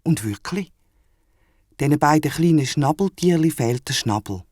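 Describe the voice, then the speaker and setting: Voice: medium pitch, expressive, normal speed, darkish tone, dry recording, clean studio sound. A storyteller with a Swiss German accent, narrating a scripted children's audio story.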